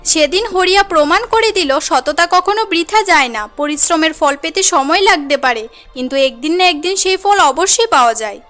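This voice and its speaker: A woman's voice: very high voice, expressive, moderate pace, fairly bright tone, faint music behind. A woman narrating a moral cartoon tale in an earnest, dramatic storytelling manner.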